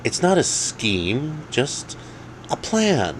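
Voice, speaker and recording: Guy doing pushy wingman buddy for a story, voice lifted up high, coaxing and eager, bit of noise behind. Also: huge pitch swings, drawn-out words, exaggerated voice, rough recording. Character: eager, pushy male best friend, grown-up wingman. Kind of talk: acted story dialogue.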